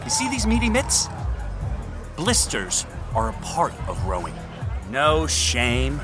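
An actor playing a cocky, boozy college rowing captain, theatrical and taunting in an audio drama. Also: male voice, on the high side, wildly swooping pitch, slow pace, a crowd in the background, full character voice. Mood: drunken, taunting. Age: young adult. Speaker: team captain. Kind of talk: scripted audio drama dialogue. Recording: music behind the voice.

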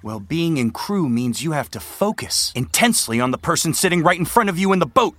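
A man's voice: a little high, sing-song, a little fast, fairly bright tone, no room sound. An actor playing an arrogant, domineering young male college rowing captain in an audio drama, commanding and projecting. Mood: scornful, irritated. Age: young adult. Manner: pompous, commanding, projected speech. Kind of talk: scripted audio drama acting.

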